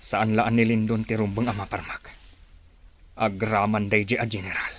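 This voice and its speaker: A man acting in a radio drama as a bitter, vengeful character, speaking gravely and broodingly about his enemies. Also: lowish voice, little pitch movement, moderate pace, fairly bright tone.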